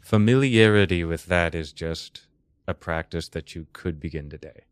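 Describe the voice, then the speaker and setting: Deep-voiced American man, dry close sound. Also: moderate pace, clean tone, dry recording. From Texas, United States. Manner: thoughtful, wordy, erudite phrasing. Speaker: podcast guest, comedian. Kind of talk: casual explanatory chat.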